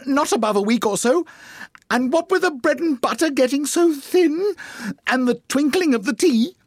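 A pleading, muddled male hatter from a storybook. He is high-pitched, hesitant and trembling, with a swooping, anxious melody. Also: big pitch swings, a little slow, fairly bright tone, dry recording, studio quality, heavily acted.

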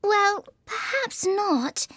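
A man's voice: very high voice, animated, measured pace, fairly bright tone, no echo, clean studio sound, pitched above the performer's own voice, exaggerated voice. A man voicing a polite, eager young storybook girl who chatters in a gushing, apologetic way. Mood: apologetic, soothing. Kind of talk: storybook character reading.

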